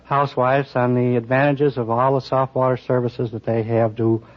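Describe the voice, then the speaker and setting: A man's voice: medium pitch, little pitch movement, normal speed. Matter-of-fact man on dull old radio. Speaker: quiz show contestant. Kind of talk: conversational answers and anecdote. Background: studio audience.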